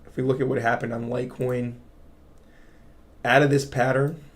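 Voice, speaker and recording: A man with a raspy voice hosting a casual crypto livestream, explaining charts to viewers and often checking in with "right?". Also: medium pitch, flat delivery, moderate pace. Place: home studio. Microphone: studio mic.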